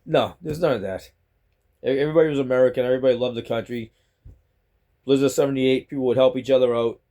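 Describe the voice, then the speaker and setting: A man's voice: medium pitch, fast, warm tone. A middle-aged man with a Boston accent, rambling casually to a phone on a selfie stick at home. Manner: chatty, rambling, repeats himself. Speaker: vlogger. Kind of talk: rambling casual talk to camera.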